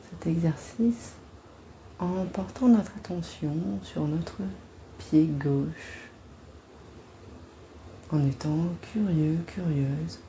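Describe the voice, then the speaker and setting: A woman guiding a body-scan meditation in a gentle, soothing, unhurried manner, leaving long pauses between phrases. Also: sits low, slight background noise, rough recording.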